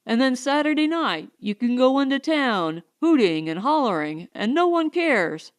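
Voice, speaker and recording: A woman's voice: high-pitched, big pitch swings, normal speed, voice pushed up. A woman voicing a folksy male colonist who talks in an earnest, colloquial way, in an audiobook story.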